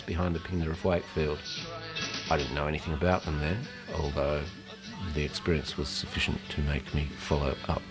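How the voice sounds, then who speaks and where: A man reminiscing in a documentary interview about his work. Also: low-pitched. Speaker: photographer interviewee.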